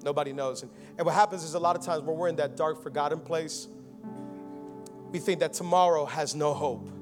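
A young man from Miami preaching a Christmas sermon, moving between rousing calls and quiet personal talk. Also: a little high, steady tone, a little fast, music in the background. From United States (Miami). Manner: rousing preaching, swinging from loud and fervent to quiet and intimate. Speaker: pastor.

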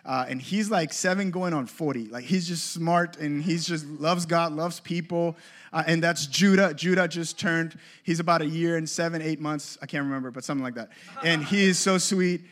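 A man's voice: fairly high pitch, normal speed, fairly bright tone. A man in his thirties from Colombia, a creative ministry leader giving a casual, joking workshop talk with many fillers and restarts.

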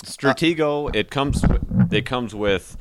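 A man's voice: mid-range voice, a little slow, a little hiss or hum. A man hosting a casual comedy podcast, playing the bemused straight man with a hesitant way of talking. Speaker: podcast host. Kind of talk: casual improvised comedy chat.

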